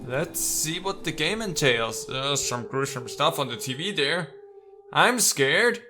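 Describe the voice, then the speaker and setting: A man's voice: on the high side, expressive, unhurried, music in the background. A man giving casual, playful let's play commentary, slipping into theatrical readings of game text and talking to the characters.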